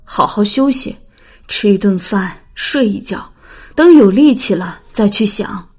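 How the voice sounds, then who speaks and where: A woman acts out an elderly nursemaid in an audiobook drama. She is gentle and soothing, careful and deferential toward her young mistress. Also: mid-range voice, animated, measured pace, breathy edge. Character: kindly old nursemaid, soothing, cautious, deferential.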